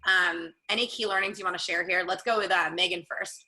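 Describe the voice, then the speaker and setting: A woman's voice: lowish voice, fairly even intonation, fairly quick, bright, forceful tone, poor audio. A woman moderating a career panel, upbeat and encouraging as she puts questions to the guests.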